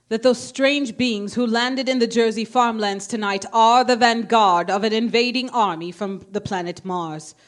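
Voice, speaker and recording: An actress playing a grave, formal news announcer in a radio drama, reading bulletins in a measured way. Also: female voice, fairly high pitch, close to an everyday voice. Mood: grave, solemn. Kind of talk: stage radio drama acting as newscaster.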